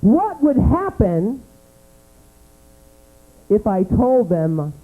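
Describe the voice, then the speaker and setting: A high-voiced man doing stand-up in a small club, unhurried and campy, swooping into a low dramatic voice for effect. The live recording is tinny and lo-fi, with a mains hum underneath. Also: very animated. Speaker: stand-up comedian. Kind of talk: stand-up comedy set.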